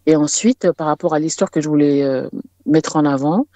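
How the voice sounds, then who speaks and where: A woman's voice: low-pitched, fairly quick, mellow, dry recording. A woman singer-songwriter talking casually as an interview guest, with hesitant, conversational phrasing.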